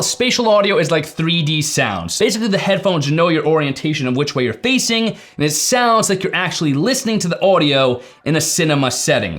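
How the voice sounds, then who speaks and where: A man's voice: on the high side, a bit bright. A man hosting a tech video, explaining things casually and informally to viewers, leaning on key words for emphasis. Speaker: tech reviewer and video host.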